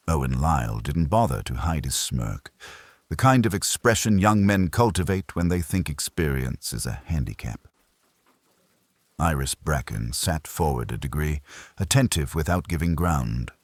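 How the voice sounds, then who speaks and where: A man reading a first-person detective story as scripted audiobook narration, in a husky voice. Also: a bass voice, animated, measured pace, dry recording.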